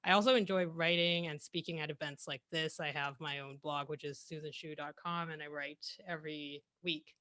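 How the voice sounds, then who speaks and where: A woman's voice: low-pitched, fairly even intonation, normal speed, hardly any pauses, bright, forceful tone, no room sound. A young woman presenting her career over a video call, chatty and self-correcting, often laughing between phrases. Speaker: guest speaker. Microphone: headset on a video call.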